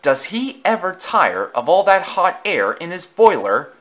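A man voicing a small, cheeky male engine who talks in sharp retorts. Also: high-pitched, sing-song, measured pace, pitched above the performer's own voice, full character voice. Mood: indignant.

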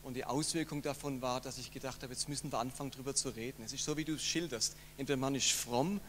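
Earnest pastor in a humming hall. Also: male voice, medium pitch, steady tone, quick pace, a bit bright. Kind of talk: acceptance speech. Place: large hall on a stage. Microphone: handheld mic. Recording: hall reverb with faint hum.

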